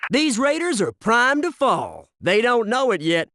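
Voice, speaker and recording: High-pitched, brash wisecracking soldier. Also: male voice, huge pitch swings, a little slow, pushed and bright, dry recording, studio quality, heavily acted. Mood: determined. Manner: punchy, sarcastic wisecracks and urgent squad callouts. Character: wisecracking male special-forces soldier, brash and folksy. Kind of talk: military shooter game voice acting.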